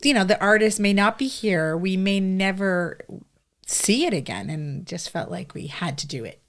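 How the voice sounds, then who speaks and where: A woman's voice: mid-range voice, expressive, moderate pace. A middle-aged woman chatting casually as a podcast co-host. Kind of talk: casual conversational chat.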